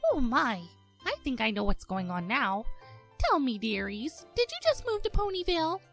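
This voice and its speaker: A woman's voice: sits high, very animated, moderate pace, a bit bright, faint music behind, pitched above the performer's own voice, full character voice. A woman voicing a kindly, motherly mare shopkeeper pony in a fan fiction reading, sweet and doting. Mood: curious. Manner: sweet, doting, calls others dearie.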